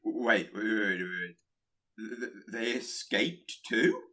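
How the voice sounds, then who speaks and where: A man playing a nervous, eager spirit of pestilence in a radio play, timid and halting, with a heavy stutter. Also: on the low side, lively intonation, pushed and bright, a little hiss or hum, heavily acted. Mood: anxious. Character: nervous, eager male spirit of pestilence who delights in corruption.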